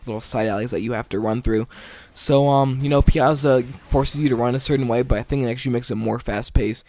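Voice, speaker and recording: A tired man giving casual gameplay commentary late at night, stuttering often and filling pauses with ums and likes. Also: mid-range voice, darkish tone. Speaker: gaming video commentator.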